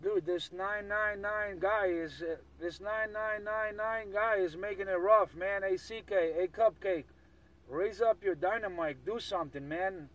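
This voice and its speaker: A man's voice: high-pitched, unhurried. A man making casual CB radio chatter in a slangy, repetitive way. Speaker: CB radio operator. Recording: noisy CB radio, heard from afar.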